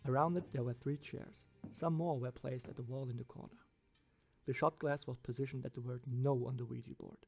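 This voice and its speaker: A man reading a scripted first-person horror story as its narrator, with a gravelly voice. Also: medium pitch, fairly even intonation, fairly quick, darkish tone, faint music behind.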